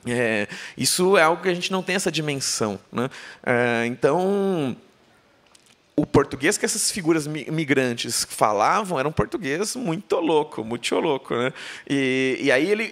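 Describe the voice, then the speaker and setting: A woman's voice: deep voice, a bit bright. A woman author talking on a book fair stage before an audience, playful and digressive, often turning to ask the crowd questions. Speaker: author being interviewed. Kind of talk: live conversation on stage.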